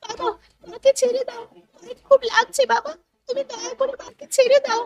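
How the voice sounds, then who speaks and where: A woman's voice: extremely high pitch, flat delivery, a little fast, lo-fi sound. A woman voicing an anxious young village daughter in a cartoon, pleading urgently. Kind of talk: cartoon drama voice acting.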